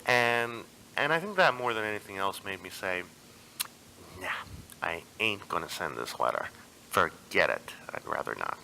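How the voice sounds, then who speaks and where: A man with a fairly low voice talks casually, as if keeping an audio diary. He is a little halting and corrects himself as he goes. The home tape recording is plainly noisy. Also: expressive, vocal fry, sharp tone. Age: 30s. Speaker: maker of a home audio zine.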